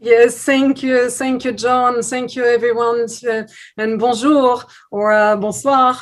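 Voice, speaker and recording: A woman's voice: fairly high pitch, level tone, slow. A woman from France with a French accent, a tourism representative speaking as a webinar guest, friendly and hesitant with fillers. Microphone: video call.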